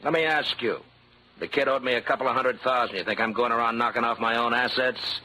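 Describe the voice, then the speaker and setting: An actor playing a cool, tough nightclub boss with an American accent, curt and hard-boiled, in a 1940s radio crime drama. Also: male voice, mid-range voice, fairly quick, bright, forceful tone. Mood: defiant. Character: cool, tough nightclub boss under suspicion, adult man. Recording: noisy vintage 1940s radio recording.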